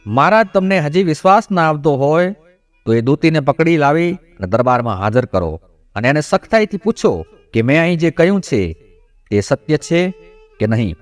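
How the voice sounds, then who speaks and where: A man's voice: on the high side, dry recording, close to an everyday voice. A man plays a devoted wife in a storybook folk tale, wronged and boldly accusing. The voice swings earnestly up and down over faint music. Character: wronged, devoted young wife pleading boldly before a king.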